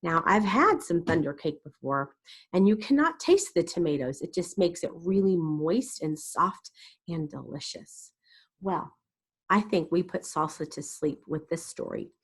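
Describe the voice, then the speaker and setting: A woman's voice: on the low side, lively intonation, unhurried, husky. A woman storyteller reading a picture book aloud expressively and dramatically for young children. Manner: dramatic, explains to young listeners.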